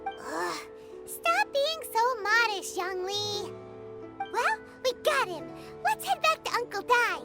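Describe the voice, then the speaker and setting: Tiny childlike game companion, squeaky, extremely high-pitched, cheerful, stubborn, emphatic, loud music behind. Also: female voice, very animated, slow, heavily acted. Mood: indignant. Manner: refers to self in third person, emphatic. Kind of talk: animated video game voice acting.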